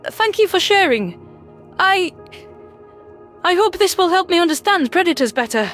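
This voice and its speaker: Tiny inquisitive alien rodent, extremely high, swooping pitch, polite and tentative, light music underneath. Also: female voice, very animated, moderate pace, a bit bright, heavily acted. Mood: grateful, earnest. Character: small curious, polite female alien rodent. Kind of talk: sci-fi audiobook character voice.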